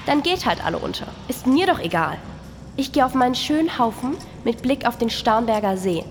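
A woman plays a cynical, commanding boss in an audio drama. Her fairly high voice is brusque and full of scorn, ringing in a long hall reverb over faint noise. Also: big pitch swings, normal speed. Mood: bitter, dismissive. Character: bossy, cynical female worker microbe boss inside a cow, foul-mouthed. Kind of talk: scripted radio play acting.

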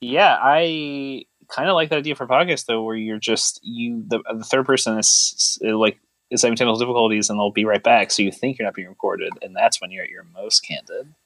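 A man's voice: mid-range voice, steady tone, a little fast, fairly bright tone, dry recording, low-quality recording. A man co-hosting a podcast in casual chat, rambling and joking with a mock-serious manner. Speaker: podcast co-host.

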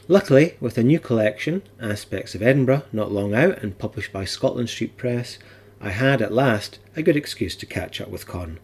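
A man with a husky voice, presenting and interviewing as a podcast host. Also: mid-range voice, a little mellow. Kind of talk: scripted podcast introduction and interview.

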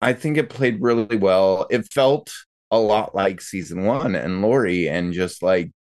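A man's voice: low voice, measured pace, darkish tone. A man chatting casually as a podcast co-host and role-playing game player. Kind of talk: casual panel chat.

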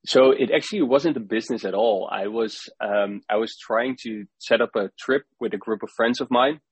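A young man with a Dutch accent, a startup founder answering interview questions in a halting way, often repeating words as he thinks. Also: mid-range voice, slightly rough, dry recording. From Netherlands.

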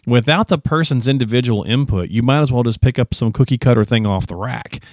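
A man's voice: medium pitch, brisk, darkish tone, no echo, studio quality. Sniffly, chatty male podcast host. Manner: chatty, colloquial, folksy phrasing. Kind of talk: casual podcast chat.

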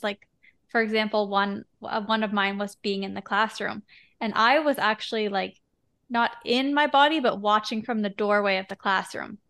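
A woman's voice: a little high, a bit bright. Casual, reflective woman podcast host. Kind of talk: casual conversational interview.

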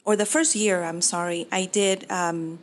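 A woman's voice: lowish voice, fairly even intonation, measured pace, a little hiss or hum. A woman, giving earnest, reflective interview answers with frequent restarts. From Peru. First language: Spanish. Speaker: mortgage professional.

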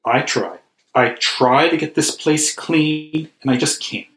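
A man's voice: a little high, measured pace, fairly bright tone. A man playing a despondent, earnest school cleaner in improvised comedy, plaintive and repeating himself. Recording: roomy, echoing sound.

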